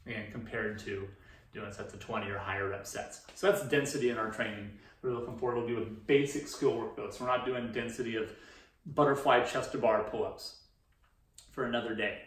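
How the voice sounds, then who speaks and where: A man's voice: medium pitch, brisk, a bit bright. A man in his thirties giving an informal, explanatory presentation as a fitness coach in a conversational way. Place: indoor room beside a presentation screen. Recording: roomy echo, distant microphone.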